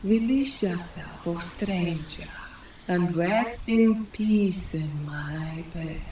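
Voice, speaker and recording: A woman playing an eerie, seductive supernatural siren who calmly beckons in a gothic audio play. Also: lowish voice, drawn-out words. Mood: hypnotic luring. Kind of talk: gothic audio drama, incantatory. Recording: echoey, faint background noise.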